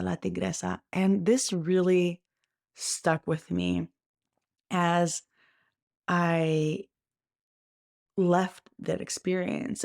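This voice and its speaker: A woman's voice: lowish voice, vocal fry, a little mellow, no echo, clean studio sound. A woman hosting a motivational podcast, talking earnestly and encouragingly, often checking in with "right?" and restarting phrases as she thinks. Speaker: podcast host.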